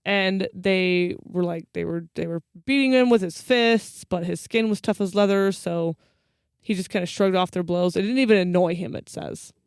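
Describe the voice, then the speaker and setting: A woman's voice: on the low side, normal speed, clean tone, no echo, clean studio sound. A woman co-hosting a podcast, telling folklore in a casual, teasing, storytelling way. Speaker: podcast co-host. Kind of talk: casual banter about folklore.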